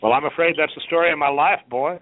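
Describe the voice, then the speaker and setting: Rambling middle-aged man on a phone line. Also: on the high side. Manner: rambling, halting, many restarts. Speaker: radio show host. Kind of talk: casual radio chat.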